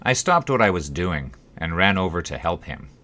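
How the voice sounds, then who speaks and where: Low-voiced, expressive male audiobook narrator. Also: normal speed. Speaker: author reading his own book. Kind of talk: scripted audiobook narration of a memoir-like story.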